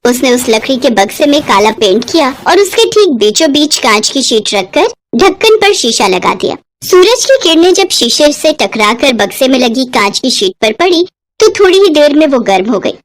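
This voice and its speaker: A woman's voice: high voice, big pitch swings, normal speed. A woman narrating a scripted moral bedtime story as a storyteller.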